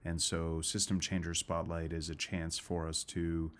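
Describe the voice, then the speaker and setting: A man's voice: low voice, completely flat delivery, unhurried, a bit bright. A man hosting a talk show, reading a scripted introduction and then chatting earnestly with a guest. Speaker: podcast host.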